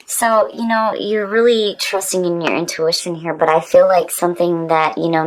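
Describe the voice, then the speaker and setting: Low voiced lady doing a tarot reading, chatty and informal, kinda emphatic. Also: rough recording. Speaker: tarot reader. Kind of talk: casual conversational tarot reading.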